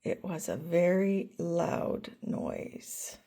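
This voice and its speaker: An older woman reading a picture book aloud to children, gently asking them questions along the way. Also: on the low side, extremely slow. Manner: gentle, asks listeners questions. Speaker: storyteller grandmother.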